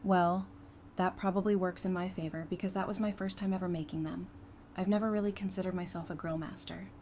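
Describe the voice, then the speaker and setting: A woman's voice: lowish voice, fairly even intonation, brisk, darkish tone, natural voice. Woman playing playful teasing partner, noisy background. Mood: warm, encouraging. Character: teasing, affectionate woman in her early thirties. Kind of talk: audio drama acting.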